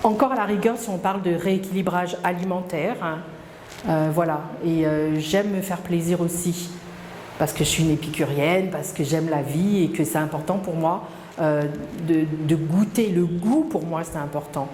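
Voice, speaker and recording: A middle-aged woman speaks in a somewhat low, mellow voice. She talks hesitantly and reflectively, with frequent fillers and restarts. The sound is roomy with a long reverb and faint background ambience. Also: moderate pace, a little hiss or hum. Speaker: interviewee. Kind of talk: personal testimony interview.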